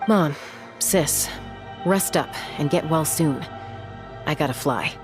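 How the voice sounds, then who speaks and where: A determined young warrior flier from a video game with a low, firm voice. She talks bluntly and decisively, with faint music behind her. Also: takes it slowly. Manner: blunt, decisive, impatient to act.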